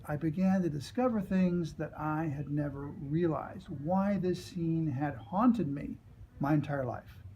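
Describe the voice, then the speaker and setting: A man from the United States, an author reading his memoir and reflecting on it in a deliberate, dramatic storytelling manner. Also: a little high, darkish tone, a little hiss or hum, low-quality recording.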